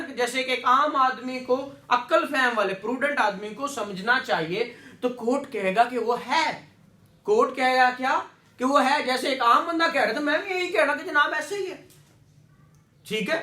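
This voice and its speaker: A man's voice: very high voice, pushed and bright. A man with a Pakistani Punjabi accent lecturing to a class, explaining repetitively, often checking for agreement and mixing in English legal terms. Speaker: law lecturer. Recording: echoey room sound.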